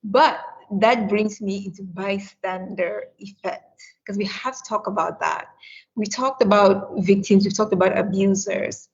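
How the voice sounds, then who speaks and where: Cameroon-raised woman moderator, husky gravelly voice, earnest and emphatic, dull lo-fi call audio. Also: medium pitch, unhurried. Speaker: webinar moderator. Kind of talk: panel discussion.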